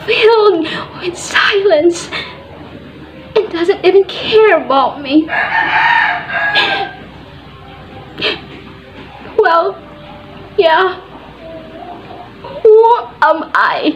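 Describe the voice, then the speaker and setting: A woman performing a theatrical monologue as a shy, unloved young girl, anguished, tearful and halting. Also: very high-pitched, lively intonation, a little hiss or hum, heavily acted. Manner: anguished, tearful, halting delivery with bitter laughs. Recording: dull lo-fi audio, music behind.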